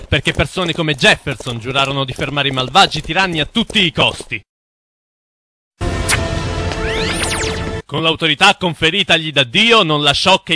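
Actor as a forceful, dramatic cartoon crusader. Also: male voice, on the high side, a little hiss or hum. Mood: solemn. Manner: grave, dramatic, preachy. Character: intense, bitter conspiracy-minded man on a crusade against bankers. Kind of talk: dubbed cartoon dialogue.

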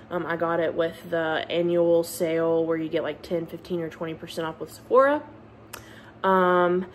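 Gushing low-pitched American woman vlogger. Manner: gushing, emphatic. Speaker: beauty and book vlogger. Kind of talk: casual chat, makeup product rundown. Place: casual vlog while at a beach trip. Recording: clear ambient background noise.

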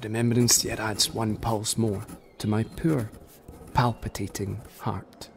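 An actor playing a dying Scottish poet, formally and earnestly reciting a letter in a radio drama. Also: male voice, on the low side, flat delivery, gravelly, slight background noise. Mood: solemn, resigned. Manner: formal, measured.

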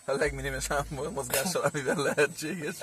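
A man's voice: on the high side, fairly bright tone. A man in his twenties chatting casually as a vlogger in a car, recorded on a phone at arm's length. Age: 20s. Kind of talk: casual chat. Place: inside a parked car.

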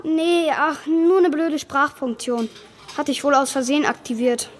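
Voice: high-pitched, a little slow. A young performer playing an exasperated ten-year-old boy in a children's radio play, grumbling casually. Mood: annoyed. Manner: casual, grumbling, youthful slang.

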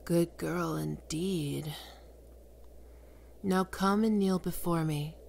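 A woman voicing a seductive, domineering female demon queen of lust in an ASMR roleplay, speaking slowly and sultrily, often in a whisper. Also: fairly low pitch, creaky, a little mellow, a little hiss or hum. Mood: commanding.

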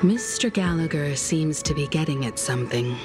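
A woman's voice: sits low, expressive, normal speed, over music. An actress playing a poised, courteous and resolute woman leader in a video game. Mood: composed, courteous. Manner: polite, composed. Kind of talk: video game cutscene acting.

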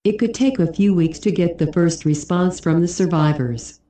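A woman's voice: lowish voice, moderate pace, warm tone, reverberant. A woman reading a scripted news report as an anchor.

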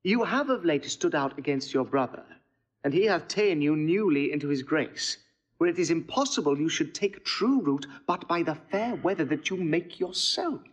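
Higher-voiced scheming follower. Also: male voice, animated, normal speed, breathy edge, poor audio. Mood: prodding, cautioning. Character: scheming servant follower, cautious, reasoning counsellor. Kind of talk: classical Shakespearean audio drama acting.

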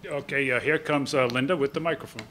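A man's voice: medium pitch, fast talker, noisy background. A man moderating a panel, informally fielding questions from the audience.

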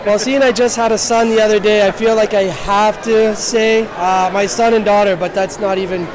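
A man's voice: high voice, steady tone, normal speed, poor audio. A man in his thirties answering casually and stumbling a little over his words in a school hallway. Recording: crowd chatter and noise.

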